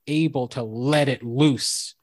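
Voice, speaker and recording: A man with a fairly high voice, co-hosting a casual talk show and thinking aloud with frequent restarts and fillers. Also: slow, darkish tone, no echo, studio quality. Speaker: co-host and former college professor. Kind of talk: casual podcast debate.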